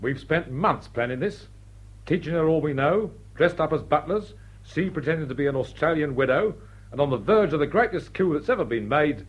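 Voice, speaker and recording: An actor playing a blunt, exasperated crook disguised as a butler, indignant and sarcastic. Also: male voice, on the high side, lively intonation, moderate pace, slightly rough, muffled recording. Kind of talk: stage drawing-room radio drama.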